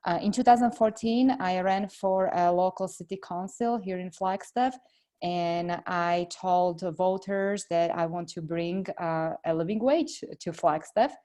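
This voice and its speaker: A middle-aged woman from Slovakia with a Slovak accent, answering interview questions earnestly and filling pauses with "uh.". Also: lowish voice. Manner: earnest, steady, frequent "uh" fillers. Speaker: congressional candidate.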